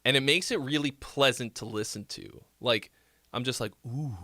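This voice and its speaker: A man's voice: medium pitch, normal speed, pushed and bright, no echo. Nasal-voiced man giving casual song feedback. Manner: rambling, many fillers and restarts. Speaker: music critique livestream host.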